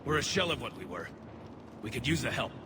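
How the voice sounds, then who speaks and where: A man's voice: mid-range voice, sing-song, brisk, natural voice. A man playing a burdened, dutiful young alien military officer in a sci-fi game, speaking earnestly and formally. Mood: stern, rallying. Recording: loud vehicle and battlefield noise behind.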